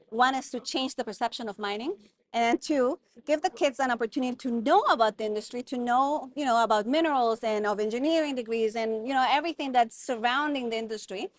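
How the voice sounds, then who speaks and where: Earnest woman, slightly high voice. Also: dry recording. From Guatemala. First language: German. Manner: thoughtful, earnest, many fillers and restarts. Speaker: guest, mining consultant. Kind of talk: conversational interview.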